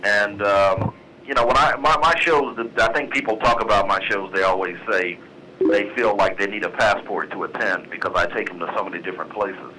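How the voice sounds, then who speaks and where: A man, a jazz band leader, guesting on a radio talk show and speaking in a relaxed, easygoing way. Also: lowish voice, a little fast, a little hiss or hum, poor audio. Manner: relaxed, easygoing, many fillers. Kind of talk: casual radio interview.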